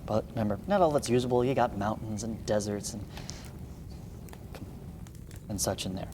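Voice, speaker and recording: A man's voice: on the low side, normal speed. Man teaching math in an echoey, noisy room. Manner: informal, folksy explanatory asides. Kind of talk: read-along lesson with asides. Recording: echoey room, clearly audible background noise.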